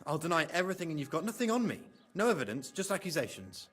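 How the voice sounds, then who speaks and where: Game role: defiant young forger, slightly high, animated, defensive, soft music behind. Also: male voice, fast. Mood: defiant, angry. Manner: combative, defensive. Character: defiant, cagey, foul-mouthed young apprentice forger. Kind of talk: scripted game dialogue acting.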